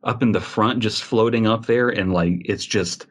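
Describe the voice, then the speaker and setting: A man's voice: on the low side, normal speed. A man co-hosting a podcast, talking casually in a rambling, emphatic and opinionated way. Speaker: podcast co-host.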